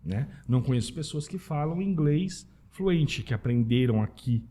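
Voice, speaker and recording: A Brazilian man with a husky voice talking casually as a podcast guest, informal and anecdotal, often checking in with tag questions. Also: mid-range voice, animated, normal speed, dark tone, a little hiss or hum. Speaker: podcast guest, Italian citizenship specialist. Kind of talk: casual conversational interview.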